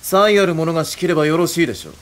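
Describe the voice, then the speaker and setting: An actor playing a proud, intelligent young samurai in a Japanese game drama, speaking in a formal, measured way. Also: male voice, a little high, a bit bright, slight background noise, close to an everyday voice. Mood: assertive.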